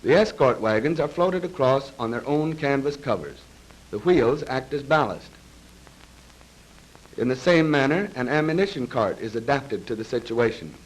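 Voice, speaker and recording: A man reads scripted narration for a 1930s military training film in a formal, measured, precise manner, on a vintage film soundtrack. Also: medium pitch, animated, poor audio. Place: voice-over recorded for a film.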